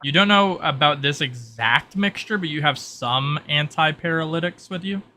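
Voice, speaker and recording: Bright-voiced male game master. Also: a little high, moderate pace, slight background noise. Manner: informal, peppered with "like". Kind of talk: casual improvised narration of a tabletop roleplay.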